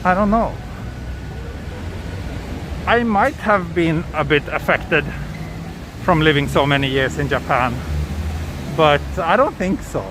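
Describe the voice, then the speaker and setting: A man's voice: a little high, slow pace, long pauses. A man with a Swedish accent hosting a live walking tour, chatting casually and answering viewer questions with hesitant, thinking-aloud restarts. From Sweden. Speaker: livestream walking tour host. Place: city streets outdoors. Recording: outdoor traffic noise.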